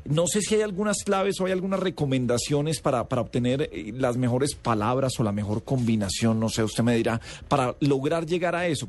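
Male radio host, slightly high voice, halting delivery with fillers. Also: a little mellow. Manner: halting, many fillers and restarts. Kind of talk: casual radio interview.

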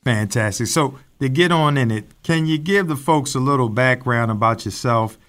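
A man co-hosting a talk show, introducing the topic and guest in a measured, somewhat formal way. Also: mid-range voice, fairly bright tone. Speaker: co-host.

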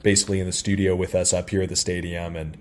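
A man's voice: low voice, little pitch movement, fast, darkish tone, slight background noise. An American man from St. Louis, a sports announcer, talking candidly and casually as an interview guest. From St. Louis, United States. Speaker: interview guest, soccer radio play-by-play announcer. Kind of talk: casual interview, personal anecdotes. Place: radio booth at a stadium. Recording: clearly audible background ambience.

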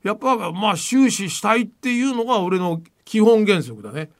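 An older man hosting a podcast, chatting casually and giving firm, emphatic opinions. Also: high-pitched. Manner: lively, emphatic, opinionated, quick talker. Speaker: podcast host. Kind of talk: casual talk show chat.

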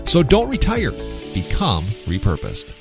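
A man's voice: medium pitch, huge pitch swings, unhurried, creaky. A man hosting a podcast, reading a scripted promo. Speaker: podcast host. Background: music.

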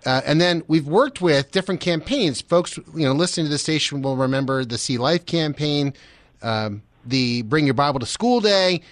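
An American man hosting a casual radio interview, asking questions in a halting, rambling way. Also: on the high side, lively intonation, dry recording. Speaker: radio station host interviewing.

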